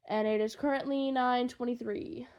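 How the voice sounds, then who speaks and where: A young adult woman with a voice on the high side talks informally to her followers. She rambles along with excitable, gushing enthusiasm. Her wording is loose and full of slang, like a fan creator chatting off script. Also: normal speed, highs cut off. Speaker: podfic creator and fan fiction writer. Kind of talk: casual rambling chat to listeners.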